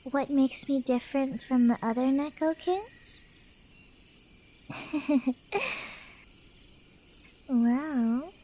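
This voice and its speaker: Woman playing a high-voiced, teasing Cheshire cat girl. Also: mellow, full character voice. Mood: playful, smug.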